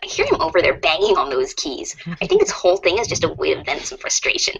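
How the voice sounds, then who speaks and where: Casting: woman for a mischievous, wordy troll girl in amateur theater; lively swooping pitch with a raspy edge. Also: fast, full character voice, low-quality recording. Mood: scheming. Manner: teasing, wordy, confident. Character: playful, teasing troll girl, sly and giggly.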